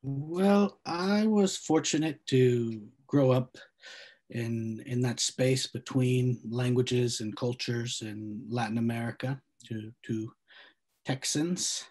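Slow, measured man recalling. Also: mid-range voice, darkish tone. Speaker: panelist translator. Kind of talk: panel discussion on video call. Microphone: video call.

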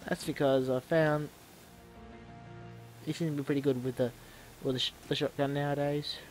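A man's voice: a little high, flat delivery, normal speed, darkish tone, faint music behind, rough recording. A man with a stuffy, snuffly voice from a cold gives casual commentary on his own video game match. He often rambles and stops to correct himself. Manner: rambling, self-correcting, restarts words.

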